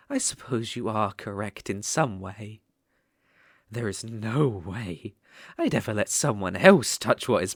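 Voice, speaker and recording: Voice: medium pitch, no room sound, clean studio sound. A British-accented performer voicing a sadistic, possessive demon ruler in a scripted ASMR roleplay, with a mocking, haughty, theatrical manner.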